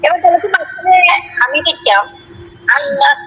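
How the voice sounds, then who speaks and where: A woman's voice: high voice, animated, fairly quick, pushed and bright, a little hiss or hum. A woman chatting casually on a recorded phone call.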